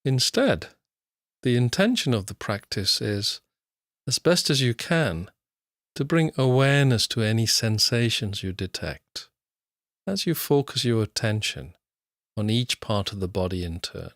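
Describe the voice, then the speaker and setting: A man narrating a guided meditation as an instructor, in measured, unhurried short phrases with long pauses. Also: medium pitch, animated, fairly bright tone, no echo, clean studio sound.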